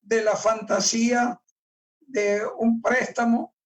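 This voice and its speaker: A male pastor preaching a sermon, reading scripture aloud and explaining it with deliberate emphasis on key words. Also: extremely high pitch, flat delivery, far from the mic. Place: church.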